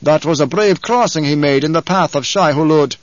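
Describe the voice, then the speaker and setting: A man's voice: a little high, no room sound, highs cut off, natural voice. A man playing a commanding, stern desert chieftain. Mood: firm. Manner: formal, measured, authoritative. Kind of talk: audiobook character acting.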